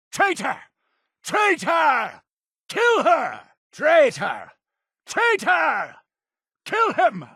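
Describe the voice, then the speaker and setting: A man's voice: medium pitch, a bit bright, no room sound, clean studio sound, exaggerated voice. An actor playing a zealous, imperious cult leader in a video game, preaching to his flock in a solemn, grandiose manner. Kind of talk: theatrical video game character dialogue.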